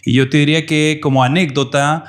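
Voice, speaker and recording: Higher-pitched middle-aged man, faintly French-tinged Chilean Spanish. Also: steady tone, brisk. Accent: Chilean Spanish with a slight French trace. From France. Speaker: podcast guest. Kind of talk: casual conversational anecdotes.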